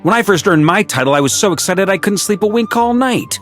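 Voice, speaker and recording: An actor playing a weary middle-aged knight commander in a video game, who sighs in exasperation, teases dryly and gives gruff orders. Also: male voice, high voice, lively intonation, brisk. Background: soft game music.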